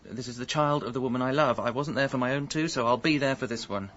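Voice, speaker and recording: A man's voice: mid-range voice, fast, fairly bright tone, dull audio, slight background noise, close to an everyday voice. An actor with a British accent playing a hapless, pleading husband and father who narrates his own mishaps in a radio comedy drama, stammering and earnest. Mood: hurt, pleading.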